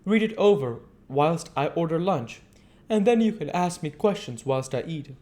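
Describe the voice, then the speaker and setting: A prim young lady from a classic novel, as a man reading aloud puts her on. The pitch is lifted high and projected, and the manner is polite, proper and keen to oblige. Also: expressive, normal speed, darkish tone, natural voice. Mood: demure, apologetic. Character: demure, polite young married lady, eager to help.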